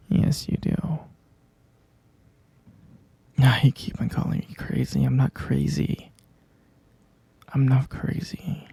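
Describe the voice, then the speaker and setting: A man playing an obsessive, clingy captor lover in a whispered ASMR roleplay, cooing and coaxing with slow, breathy repetition. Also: mid-range voice, mellow, no room sound, heavily acted. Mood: possessive, coaxing, then insistent, defensive.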